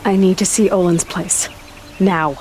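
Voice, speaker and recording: A woman's voice: fairly low pitch, steady tone, unhurried, darkish tone, a little hiss or hum, close to an everyday voice. A woman playing a blunt, resolute young outlander huntress in a game, speaking in a direct, serious, insistent way. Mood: determined.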